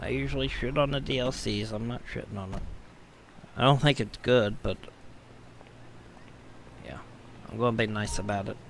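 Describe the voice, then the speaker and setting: A hoarse man streaming a game live, his voice worn and scratchy. He chats casually at a mid-range pitch, grumbling and tossing off wry asides, with faint noise in the background. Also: moderate pace, pauses often. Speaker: gaming streamer. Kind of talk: live gameplay commentary.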